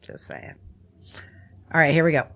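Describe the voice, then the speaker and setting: A woman's voice: moderate pace, heavy background noise. A woman hosting a podcast in an informal, conversational way, repeating words for emphasis as she chats and runs through news. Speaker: podcast host. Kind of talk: casual podcast chat and news rundown.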